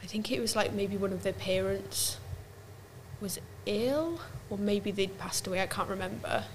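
A woman talks through a topic in a relaxed podcast chat, leaning on "like" as she goes. Her voice sits at a middling pitch. A faint hiss runs under it. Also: normal speed, rough recording. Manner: chatty, explains at length with many "like"s. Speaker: podcast co-host. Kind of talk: casual chat.

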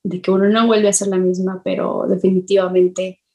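A woman with a soft-edged, mellow voice at a medium pitch. She talks as a guest in a reflective interview, pausing often to find the right word. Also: level tone. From Colombia. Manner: thoughtful, halting, searching for words. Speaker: illustrator, podcast guest.

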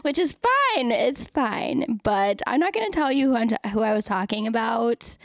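A young woman hosts a casual podcast in a chatty, frank way, swearing freely and laughing often. Her voice sits a bit high for a woman. The sound is dry and close. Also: expressive, normal speed, dry recording. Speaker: podcast host.

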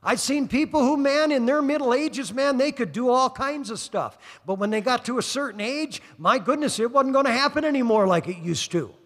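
An older man in his sixties preaching a sermon, sprinkling "praise God" through his lines as he pushes toward fervent exhortation. His voice sits high for a man. It rings with the long reverb of a church hall. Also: moderate pace, hardly any pauses. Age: 60s. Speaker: pastor. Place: church service.

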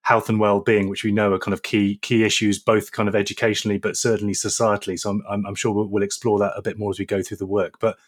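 A man hosts an academic podcast and introduces his guest. He talks fast in a somewhat low voice, often stumbling and repeating words as he frames his questions. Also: level tone. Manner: quick, hesitant, repeats words while framing questions. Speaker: podcast host, professor. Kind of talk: podcast interview.

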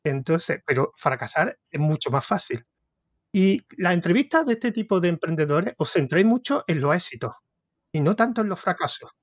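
A man's voice: a little high, lively intonation, moderate pace, no echo. Hesitant Andalusian man, dry close sound. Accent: Andalusian Spanish. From Córdoba, Spain. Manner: rambling, hesitant, restarts thoughts. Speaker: podcast host interviewer. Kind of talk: casual interview conversation.

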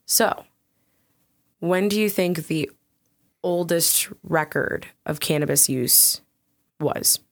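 A woman co-hosting a casual podcast, chatty and upbeat, with a soft soothing voice that often breaks into giggles. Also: low-pitched, takes it slowly, pauses often, no echo. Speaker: podcast co-host.

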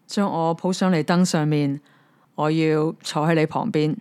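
A woman's voice: low-pitched, steady tone, a little slow, a little mellow, smooth. A woman with a Hong Kong Cantonese accent voicing an insistent, pleading male frog in a told fairy tale. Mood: demanding. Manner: coaxing, repetitive calling.

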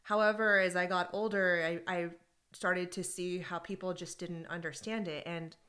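A woman co-hosting a podcast, talking in a casual, animated way and laughing easily while she tells stories. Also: lowish voice, moderate pace. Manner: animated storytelling with frequent laughs. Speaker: podcast co-host. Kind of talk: casual conversation about workplace groups.

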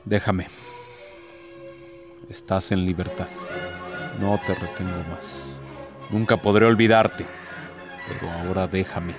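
An actor playing a proud, tormented, bitter devil in a radio drama, speaking in a solemn, theatrical, declamatory way. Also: male voice, sits low, steady tone, takes it slowly, long pauses, over music.

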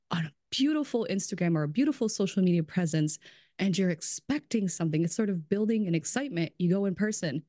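A woman chatting casually as a podcast guest, talking about restaurants, full of "like" and quick laughs. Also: fairly low pitch, dark tone, no echo, studio quality. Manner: chatty, fills speech with "like", laughs often. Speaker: brand strategist guest.

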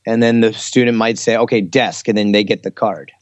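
A man chatting casually and giving teaching advice, explaining things in a hesitant, halting way. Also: on the low side, steady tone, dry recording. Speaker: English teacher in Korea, talk show speaker. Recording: slightly dull, lo-fi audio.

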